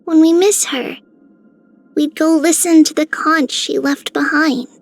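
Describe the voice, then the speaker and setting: A woman voicing a small, caring girl in a video game, with a gentle, childlike manner. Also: high voice, steady tone, unhurried, dry recording, soft background music. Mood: fond, wistful. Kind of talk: scripted game character voicelines.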